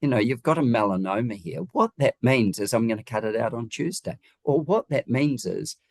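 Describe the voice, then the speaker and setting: A man's voice: medium pitch, animated, normal speed, dry recording, clean studio sound. A man with a New Zealand accent giving conversational, emphatic interview answers, often making his points with rhetorical questions. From Wellington region, New Zealand. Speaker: guest, home designer.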